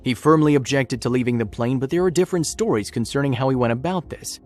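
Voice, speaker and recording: A man's voice: mid-range voice, fast talker, darkish tone. A man reading scripted, documentary-style story narration as a narrator. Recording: music bed under narration. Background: background music.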